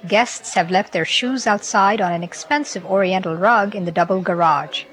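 A woman reporter reading scripted documentary narration in a measured, even delivery. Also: mid-range voice.

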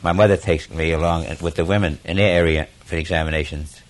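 A man's voice: sits low, fairly even intonation, fast. An older man recalling his childhood in an interview, amused and reminiscing. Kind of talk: personal recollection.

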